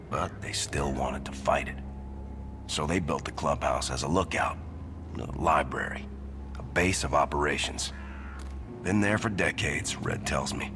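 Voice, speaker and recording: An actor playing a weathered, middle-aged island occult investigator in a video game, speaking in a folksy, reflective way with phrases trailing into a breathy murmur. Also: male voice, rumbling low. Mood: ominous storytelling. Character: weathered, wistful small-island occult investigator, an older man. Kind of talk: video game character monologue. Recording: music playing behind the voice.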